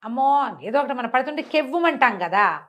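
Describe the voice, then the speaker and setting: A woman's voice: high voice, expressive, a little fast, fairly bright tone, muffled recording. A woman tells a fantasy folk tale in a conversational way, using rhetorical questions and repetition. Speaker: storyteller on a web show.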